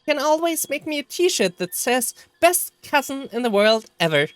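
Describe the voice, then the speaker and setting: A woman voicing an excitable, affectionate young cousin with slangy, teasing chatter in a visual novel. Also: high-pitched, normal speed, no room sound, soft background music, voice pushed up. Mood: cheerfully teasing. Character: excitable, affectionate cousin, a young bro-talking birthday girl. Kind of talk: acted visual novel dialogue.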